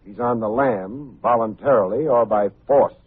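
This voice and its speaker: Raspy, wry hard-boiled private eye played by an actor. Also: male voice, mid-range voice, moderate pace, natural voice. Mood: probing, dry. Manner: dry, wisecracking, clipped noir phrasing. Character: wry, hard-boiled male private investigator, coolly unflappable. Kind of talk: 1950s radio detective drama acting. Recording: muffled phone-like vintage radio recording.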